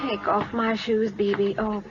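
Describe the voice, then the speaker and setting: An actress playing a genteel, spirited schoolteacher at a royal court in a 1940s radio drama, polite and emphatic. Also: female voice, mid-range voice, level tone, unhurried, over loud music, close to an everyday voice. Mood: exhausted. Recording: muffled old-time radio recording.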